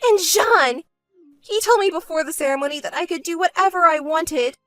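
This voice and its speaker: A woman's voice: very high voice, moderate pace, fairly bright tone, soft background music, voice pushed up. A woman voicing a spoiled, selfish younger sister in a dramatized story, whiny, melodramatic and pleading. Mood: whining complaint.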